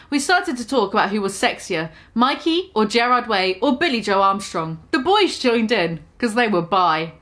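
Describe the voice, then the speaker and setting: A woman's voice: a little high, a bit bright. A woman giving a mock-dramatic reading of a fan story, amused and full of sarcastic asides. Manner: amused, keeps breaking into laughter, sarcastic asides.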